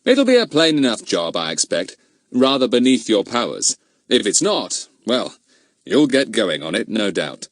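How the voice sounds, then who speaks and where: A man voicing a kindly but brisk police inspector who speaks in short, clipped phrases. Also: on the low side, huge pitch swings, dry recording, studio quality, full character voice.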